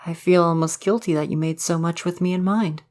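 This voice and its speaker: Man playing a courtly, gentle male forest spirit. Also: fairly high pitch, steady tone, brisk, natural voice. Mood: warm, polite. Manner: formal, old-fashioned, polite phrasing. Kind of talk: ASMR roleplay, soft romantic audio drama.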